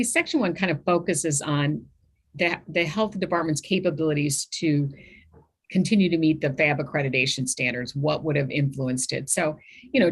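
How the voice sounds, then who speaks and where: A woman's voice: deep voice, breathy edge. A woman explaining points at a health committee meeting over a video call, in a loose, filler-laden way.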